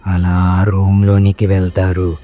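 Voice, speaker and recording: A man's voice: low-pitched, monotone, a little slow, dark tone. A man narrating a scripted horror story as its storyteller. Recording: music behind the voice.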